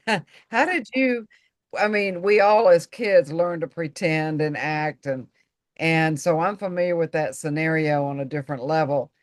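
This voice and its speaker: A woman's voice: sits low, measured pace, no echo, clean studio sound. A woman hosting an interview, asking questions that she reshapes and restarts as she goes. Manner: searching, restarts mid-question. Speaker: host.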